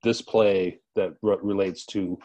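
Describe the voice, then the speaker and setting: Man, low mellow voice, conversational interviewer, halting wordy delivery, wry. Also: fairly even intonation. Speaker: interviewer, festival co-director.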